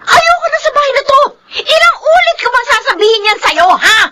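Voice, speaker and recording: A woman's voice: very high-pitched, sing-song, a little fast, sharp tone, raised voice, full character voice. A woman playing a resentful, long-suffering middle-aged wife and mother with plaintive emotional delivery in a Filipino radio drama. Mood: anguished.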